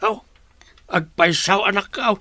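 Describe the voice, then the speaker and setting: Eager Ilocano father, older male actor, radio comedy: bright, forceful, slightly high voice, nosy manner. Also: a little slow, no echo. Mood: curious, eager.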